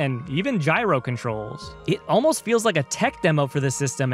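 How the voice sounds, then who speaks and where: A man's voice: a little high, a bit bright. A man from the United States narrating a scripted video game retrospective in a conversational, enthusiastic storytelling way. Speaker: video game reviewer and host. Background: music behind the voice.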